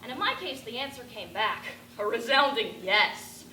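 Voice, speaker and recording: A teenage girl playing an eccentric, rambling bag lady who talks to aliens, with a raspy voice and a ranting, confiding stage-monologue delivery. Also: fairly high pitch, animated, moderate pace, pushed and bright. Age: teens. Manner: ranting, confiding, addressing passers-by. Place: stage performance. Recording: echoey room with background noise.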